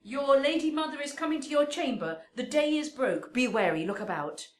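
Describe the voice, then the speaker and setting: An actress playing a loyal, fussing nurse in a Shakespearean radio drama, with emphatic, lamenting delivery. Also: female voice, high-pitched, animated, moderate pace. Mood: urgent. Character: Juliet's Nurse, fussing, loyal, scolding woman servant.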